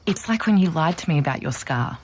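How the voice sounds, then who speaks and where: An actress playing an earnest, pushy young podcaster, insistent and persuasive, in audio drama. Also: female voice, deep voice, lively intonation, quick pace, a little mellow. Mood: probing, insistent. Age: young adult. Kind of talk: naturalistic audio drama acting.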